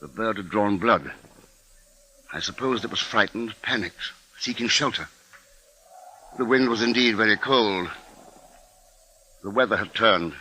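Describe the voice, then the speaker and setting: An actor playing a shaken but dogged husband and father, narrating gravely and deliberately in a 1950s radio drama. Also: lowish voice, bright, forceful tone, slight background noise, low-quality recording. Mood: grave, hushed. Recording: old 1950s radio broadcast recording. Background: dramatic music.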